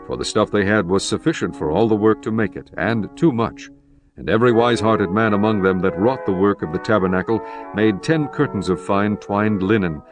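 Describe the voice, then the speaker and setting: A man reading scripture as a narrator, in a measured, formal scripted style. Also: on the low side. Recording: music bed behind the voice.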